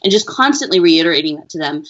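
A woman researcher answering interview questions in an earnest, measured, explaining way. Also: deep voice, lively intonation, a bit bright. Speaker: education researcher, interview guest. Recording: dull lo-fi audio.

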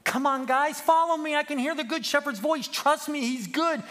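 A man voicing an earnest, emotional little lamb who pleads in a high, strained, preachy way, as in a children's story. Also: moderate pace, fairly bright tone. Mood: excited urging. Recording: long echoing hall reverb.

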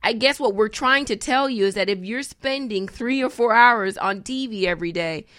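A woman's voice: a little high, moderate pace, a bit bright, no room sound, clean studio sound. An American woman speaking as a panelist in a casual discussion, explaining her points and repeating key phrases for emphasis.

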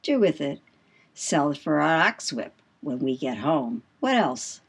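A woman's voice: deep voice, sing-song, unhurried, husky, full character voice. A woman playing a brash, boastful male sailor in an audiobook, with salty, swaggering speech and emphatic questions. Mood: defiant, boastful. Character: brash, boastful male whaling mate telling devil yarns. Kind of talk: dramatised audiobook dialogue.

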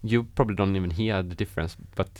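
A man's voice: low-pitched, fast, darkish tone, no echo. A man with a Swedish accent hosting a radio show in casual, conversational English as a second language. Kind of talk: casual bilingual radio chat.

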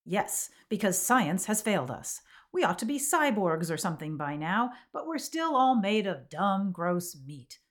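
A woman's voice: mid-range voice, very animated, a little slow, hardly any pauses. An actress playing a flighty, theatrical woman with homemade magic ideas, in scripted comic podcast banter, emphatic and argumentative. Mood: manic, insistent. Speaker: podcast co-host.

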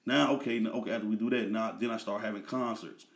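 A man's voice: fairly low pitch, fairly even intonation, fast talker. A man in his thirties, a guest in a casual interview, talking in a laid-back, slang-heavy way with lots of fillers.

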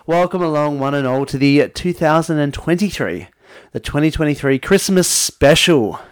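A man's voice: on the high side, animated, moderate pace, smooth, no room sound, clean studio sound. Australian man, casual podcast co-host. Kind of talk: casual banter.

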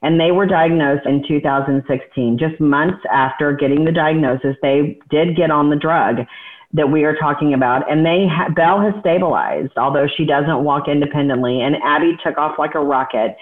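A woman's voice: low-pitched, normal speed. A woman hosting an online panel of parents, speaking in an emotional, earnest way. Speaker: panel host. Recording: tinny phone-like audio.

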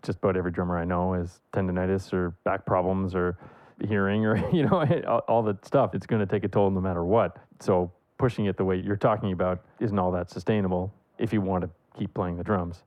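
A man hosting a music interview show, asking questions in a relaxed, conversational way. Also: deep voice, fairly quick, dry recording, muffled recording. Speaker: podcast host.

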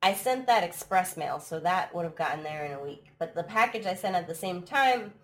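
A woman's voice: on the low side, animated, a little fast. A woman hosting a casual live stream, chatty and laughing often, her voice a little throaty from allergies. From United States. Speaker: live stream podcast host. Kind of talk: casual chat. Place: at home abroad, live stream.

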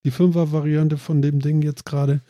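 A man's voice: fairly high pitch, little pitch movement, normal speed, dark tone, no room sound. A man who sounds nasal from a stuffed nose, hosting a casual chat and rambling with many fillers.

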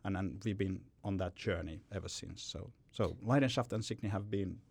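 A man's voice: low-pitched, normal speed, creaky, a little mellow, breathy edge. A man, a company founder telling his story in an interview, often repeating words as he goes. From Finland. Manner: rambling with frequent repeated words. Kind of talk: podcast interview answer.

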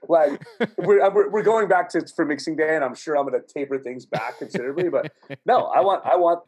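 A man chats about music in a loose, rambling way, his voice husky and a little breathy. He swears freely and laughs often as he talks through his thoughts. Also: a little high, a little fast, darkish tone. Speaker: musician guest. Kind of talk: casual podcast chat.

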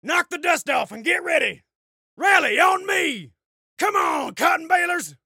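A rowdy officer bellows orders in a very high, strained yell. The voice is gravelly and piercing, fierce and commanding, like a war drama charge. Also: male voice, animated, unhurried, dry recording, clean studio sound, exaggerated voice. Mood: rousing, fierce. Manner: shouted, commanding. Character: rowdy, fierce military officer rallying his men. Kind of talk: character voice demo.

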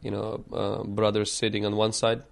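A man from Ukraine with a somewhat low voice speaks earnestly and reflectively. He is a pastor guest who leads a prayer and then recounts his story, restarting phrases as he searches for words. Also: monotone, normal speed, creaky. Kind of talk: opening prayer, then storytelling interview answers.

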